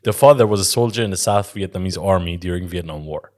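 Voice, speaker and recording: A man with a slightly low voice tells stories on a casual podcast. He rambles and restarts, swears freely and laughs often as he talks. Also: quick pace, a little mellow. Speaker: podcast co-host. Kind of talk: casual chat, telling stories.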